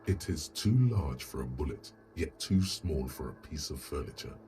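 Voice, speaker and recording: An actor voicing a grave, brooding inner voice that narrates a detective game in a deliberate, ominous, literary way. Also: male voice, rumbling low, expressive, warm tone, faint music behind. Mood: measured, observant.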